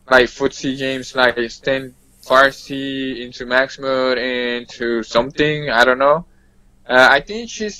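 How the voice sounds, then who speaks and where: A man giving casual game impressions as a podcast guest, in loose, halting phrases peppered with "like". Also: medium pitch, bright, forceful tone. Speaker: guest player on a gaming podcast. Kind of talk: casual game analysis chat. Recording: dull lo-fi audio in a roomy space.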